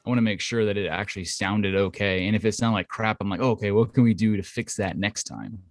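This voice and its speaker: A man's voice: fairly even intonation, fast talker, dry recording, clean studio sound. A man co-hosting a casual podcast, talking at length with lots of fillers into a home condenser mic. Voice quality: thinks his voice is high-pitched. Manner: rambling, filler-heavy, explains at length. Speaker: podcast co-host. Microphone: studio condenser mic at home.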